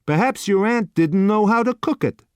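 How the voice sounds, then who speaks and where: A man voicing a gruff, impatient male restaurant waiter who answers bluntly and loudly. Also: high voice, expressive, moderate pace, no room sound, pitched above the performer's own voice. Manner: blunt, curt, loud.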